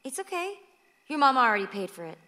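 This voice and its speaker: A woman's voice: high-pitched, sing-song, quick pace, bright, forceful tone, exaggerated voice. A woman playing a plucky, teasing teenage girl in a radio play. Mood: cautious, friendly. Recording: reverberant hall sound.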